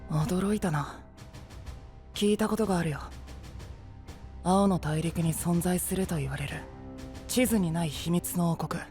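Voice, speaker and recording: A man's voice: sits high, measured pace, pauses often, darkish tone, soft background music. A male voice actor playing a cool, blunt adolescent boy knight with a dry, knowing manner, in an anime-style voice drama. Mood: intrigued.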